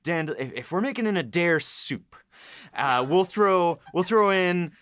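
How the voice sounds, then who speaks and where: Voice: medium pitch, lively intonation, measured pace, fairly bright tone, no echo, clean studio sound. A co-host in casual chat, asking playful, teasing questions. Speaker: podcast co-host.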